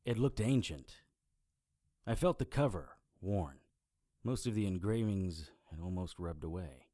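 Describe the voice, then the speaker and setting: A smart-mouthed detective narrating, lowish voice, dry mic. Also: male voice, expressive, unhurried, mellow, no echo. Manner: dry, sardonic wisecracks. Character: Harry Strange, wisecracking male private investigator. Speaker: narrator and lead character. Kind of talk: hardboiled first-person narration.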